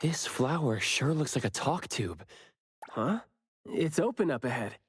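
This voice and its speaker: Curious, wry young anime adventurer. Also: male voice, mid-range voice, very animated, a little slow, slightly rough, no room sound, exaggerated voice. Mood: alert. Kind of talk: video game voice acting.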